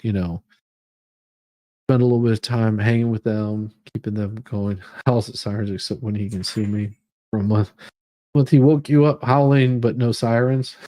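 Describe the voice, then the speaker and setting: A man hosting a casual livestream, chatting to viewers in a rambling, halting way that often repeats words. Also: lowish voice, dark tone, no echo. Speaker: livestream host. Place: room at home.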